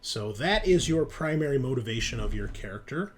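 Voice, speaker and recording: A man narrating a tabletop roleplaying game as game master, explaining things conversationally with pauses mid-sentence. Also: mid-range voice, very animated. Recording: music behind the voice. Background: background music.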